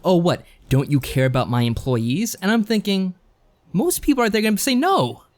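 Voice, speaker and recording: Man chatting with lively, swinging intonation. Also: sits high, normal speed. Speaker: podcast co-host. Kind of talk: casual chat.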